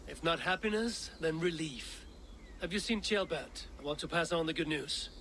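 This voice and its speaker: A man's voice: a little high, lively intonation, moderate pace, fairly bright tone, a little hiss or hum. A man acting a composed, determined Viking warrior in a video game, with terse, questioning delivery. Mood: businesslike.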